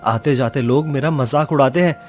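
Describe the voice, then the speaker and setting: A man's voice: medium pitch, normal speed, a little mellow, natural voice. A man playing a poor, anxious, kind-hearted village blacksmith in a cartoon story, earnest and dramatic, over music. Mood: downcast. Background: background music.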